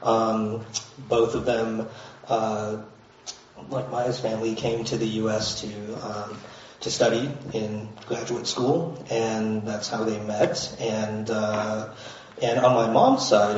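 Flat-voiced man speaking from afar in an echoey hall. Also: on the low side, slight background noise. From United States. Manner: halting, many uhs and restarts. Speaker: panelist. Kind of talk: panel self-introduction. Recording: distant, dull, very echoey room.

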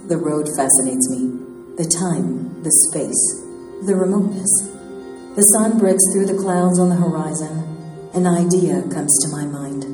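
A woman giving a slow, measured, poetic scripted narration. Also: on the low side, mellow. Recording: echoey hall from far off, with music.

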